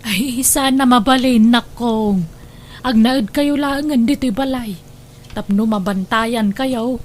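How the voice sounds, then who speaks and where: A woman's voice: a little high, slow pace, slight background noise. A woman acting a caring mother-in-law who frets over her wayward son in an Ilocano radio drama, with a Filipino Ilocano accent. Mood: caring, reassuring.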